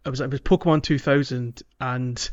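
A man's voice: mid-range voice, fairly even intonation, a little fast. Man chatting over dull, lo-fi audio. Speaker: podcast co-host. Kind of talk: casual chat.

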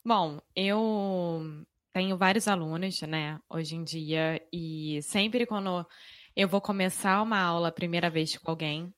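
A woman from Rio de Janeiro with a Carioca accent, co-hosting a casual podcast, frank and lively, laughing easily. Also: fairly low pitch, unhurried, no room sound, clean studio sound. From Rio de Janeiro, Brazil. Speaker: podcast co-host, Portuguese teacher.

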